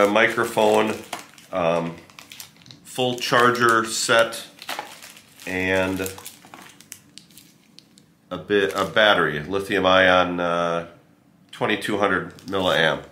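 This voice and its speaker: A man giving a casual, unscripted product review, explaining step by step in a halting, informal way. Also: low-pitched, sharp tone. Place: outdoors on a rural farm. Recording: noisy outdoor farm ambience with chickens. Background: clucking chickens, outdoor ambience.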